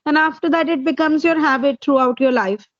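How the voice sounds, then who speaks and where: A woman's voice: sits high, a little fast, no room sound, muffled recording. A woman from India, a native Hindi speaker with an Indian accent, answering interview questions as an English tutor and laying out her points one by one. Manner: explains step by step, numbering points.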